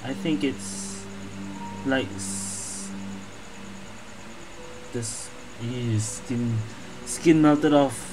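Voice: mid-range voice, drawn-out words, pauses often, over music, low-quality recording. A gaming streamer giving casual playthrough commentary, hesitant and thinking aloud.

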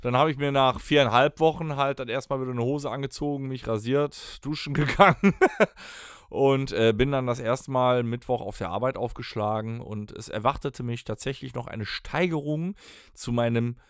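A man's voice: medium pitch, moderate pace, smooth, no room sound, clean studio sound. A man co-hosting a podcast, telling stories in casual banter. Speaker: podcast co-host.

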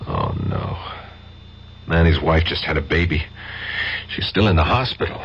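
A man's voice: a bass voice, normal speed, highs cut off, a little hiss or hum. A man with an American accent playing a weary but feeling federal narcotics agent in a radio drama, natural and conversational. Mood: shocked, sad. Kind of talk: 1970s radio drama acting.

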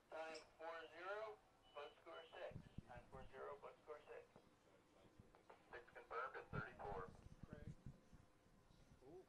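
A man's voice: on the high side, noisy background. Man chatting offhand with fellow officials. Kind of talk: casual chat among officials.

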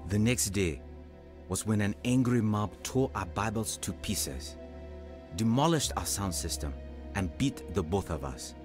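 A humble African evangelist in a radio drama. He speaks in a lowish, earnest voice, reflective as he recounts his life, with faint music behind him. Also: lively intonation, unhurried. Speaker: actor narrating in radio drama. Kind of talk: dramatised first-person narration and dialogue.

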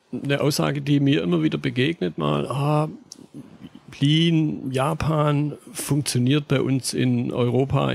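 A man's voice: mid-range voice, vocal fry. A man hosting a podcast, reading a formal intro and then interviewing his guest. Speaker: podcast host.

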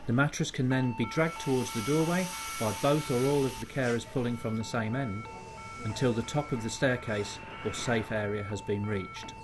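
A man reading a scripted voice-over for a healthcare evacuation training video, measured and formal. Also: mid-range voice, over music. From United Kingdom.

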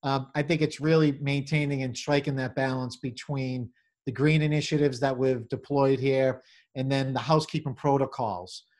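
Middle aged guy, manager type, medium pitch, stop-start business talk on a video call from his office. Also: fairly even intonation, darkish tone. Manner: halting, corporate phrasing with repeated words. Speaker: convention center general manager, interview guest. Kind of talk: interview answers on a video call. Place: small office, indoors. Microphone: computer or webcam on video call.

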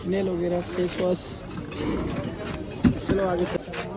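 A man's voice: sits high, completely flat delivery, slow pace. A man casually narrating a travel vlog while walking through a busy outdoor street market, chatting to his viewers as "guys". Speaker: vlogger touring a market. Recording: loud crowd and street traffic noise.

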